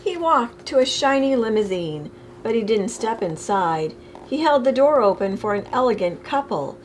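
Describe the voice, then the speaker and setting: A woman reading a children's picture book aloud expressively, with deliberate phrasing, as a storytime reader. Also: fairly high pitch, sing-song, a little hiss or hum.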